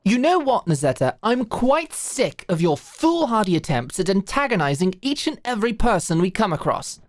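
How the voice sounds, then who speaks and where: A woman's voice: mid-range voice, sing-song, normal speed, no room sound, studio quality, lightly acted. A woman playing a prim young nobleman in an audio drama, scolding formally and emphatically with an exasperated air. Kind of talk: dramatic audio roleplay acting.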